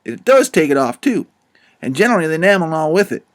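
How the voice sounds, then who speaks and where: Guy doing a mopey dramatic young conman, high and slow, all theatrical. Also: sing-song. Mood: chatty. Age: young adult. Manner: theatrical, mournful, grandiloquent. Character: young male swindler, melodramatic and self-pitying. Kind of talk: audiobook character voice.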